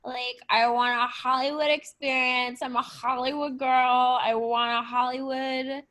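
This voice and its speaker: A woman's voice: sits high, flat delivery, unhurried, a bit bright. A woman playing a bratty, tipsy Hollywood party girl in improvised comedy, whiny, drawling and entitled.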